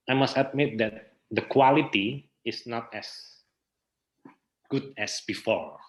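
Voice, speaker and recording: A man's voice: on the low side, fairly bright tone, dull audio. A man with an Indonesian accent giving thoughtful interview answers, hesitant and often searching for words as he repeats phrases. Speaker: interview guest, theatre researcher and festival director.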